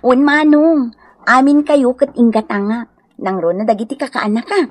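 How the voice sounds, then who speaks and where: A woman's voice: a little high, moderate pace, natural voice. A woman playing a generous, easygoing younger sister in an Ilocano radio drama. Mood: cheerful. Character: generous, good-natured younger sister back from working in Manila.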